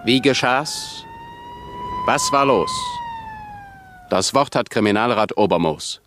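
Vintage radio mystery announcer: portentous, slow, highly theatrical intonation, noisy archive sound. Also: male voice, huge pitch swings, a bit bright, full character voice. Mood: portentous, dramatic. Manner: dramatic, portentous, clipped questions. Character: solemn male announcer of a crime mystery series. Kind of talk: 1960s German radio announcing. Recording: noisy old radio recording with siren.

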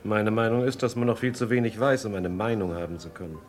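Man acting fast-talking skeptical detective. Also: lowish voice, lightly acted. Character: male police detective, skeptical, crisp, probing. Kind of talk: German crime radio play acting.

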